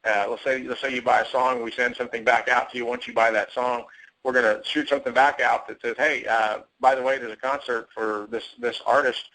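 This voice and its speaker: An American man giving an enthusiastic, salesy pitch on a conference call, repeating words for emphasis. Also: little pitch movement, fairly quick, sharp tone, low-quality recording. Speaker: company executive addressing network marketers.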